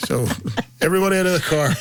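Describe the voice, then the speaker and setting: A man's voice: high-pitched, heavy background noise. A middle-aged man with a Belfast accent, bantering casually and telling jokey anecdotes as a talk show co-host. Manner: jokey, animated storyteller. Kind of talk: casual comic banter, anecdotes and listener comments.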